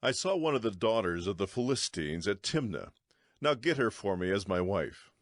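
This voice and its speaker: A man's voice: on the low side, sing-song, moderate pace, no echo, studio quality. An actor playing a headstrong, proud young strongman in a dramatized audio Bible, speaking in commanding, formal phrases. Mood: demanding.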